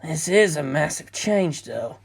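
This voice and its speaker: A narrator voicing a laid-back, flirtatious male director in an easygoing, low-key way for an audiobook. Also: lively intonation, measured pace, gravelly, breathy edge, exaggerated voice. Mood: thoughtful.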